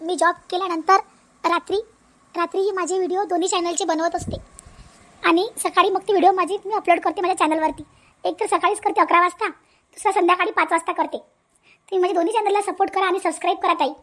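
Very high, fast-talking woman appealing to viewers. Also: fairly even intonation, fairly bright tone. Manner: pleading, explanatory. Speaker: cartoon channel creator.

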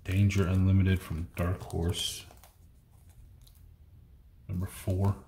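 Middle-aged man, deep voice, flat delivery, muttered issue numbers, echoey room, faint hiss. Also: takes it slowly. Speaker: comic collection unboxing host. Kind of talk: casual commentary while sorting comics. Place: indoor room at a table. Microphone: fixed camera across the table.

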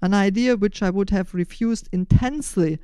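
Older german lady on a podcast, german accent, crisp clear voice, scripted sounding answers, dry sound. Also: mid-range voice, normal speed, mellow, no echo. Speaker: podcast host and initiator.

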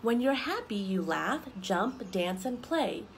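A young woman reading picture books aloud to children, expressive and explaining things simply as she goes. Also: fairly high pitch, slow, slight background noise. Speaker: story time reader for children. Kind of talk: children's picture book read-aloud with asides. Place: outdoors on a wooden deck among trees.

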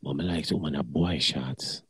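A man's voice: a bass voice, normal speed, fairly bright tone, husky, no room sound, clean studio sound. A middle-aged man, talking bluntly and crudely in patois in a casual chat.

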